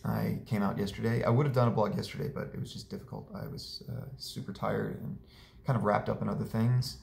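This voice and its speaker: A young man with a hoarse, heavily gravelly voice talks casually, with many fillers and restarts. He sits low in pitch and stands back from the camera, so the small room adds an echoey, distant sound. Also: brisk, a little hiss or hum. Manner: relaxed and chatty, with many fillers. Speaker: vlogger. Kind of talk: casual recovery update. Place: small living room indoors. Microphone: camera a few meters away.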